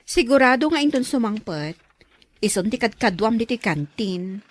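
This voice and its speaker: Woman playing outspoken young female friend, audio drama, teasing, high pitch, animated swings, dry sound. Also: normal speed, no room sound.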